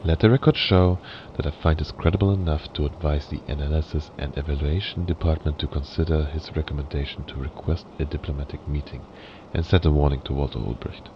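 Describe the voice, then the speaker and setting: Man playing a very deep-voiced official on tape. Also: steady tone. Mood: formal, grave. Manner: formal, measured, bureaucratic. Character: formal, grave male official dictating a record. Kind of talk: cinematic audio drama acting. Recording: dull, clearly noisy tape playback.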